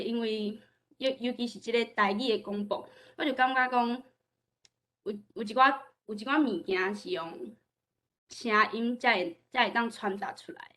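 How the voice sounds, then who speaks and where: Female student, Taiwanese accent. Bright, higher-set voice, informal sharing, hesitant phrasing. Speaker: student speaker sharing her experience.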